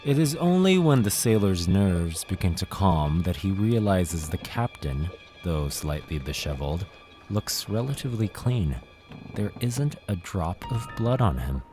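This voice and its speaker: A man's voice: deep voice, big pitch swings, unhurried, over music. A man narrating a scripted horror tale as a dramatic storyteller.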